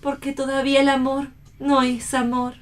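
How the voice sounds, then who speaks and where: Actress doing a proud posh young woman let down by love, high voice, melancholy radio play delivery. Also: completely flat delivery, moderate pace, lightly acted. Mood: resigned, regretful. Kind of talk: read radio theatre.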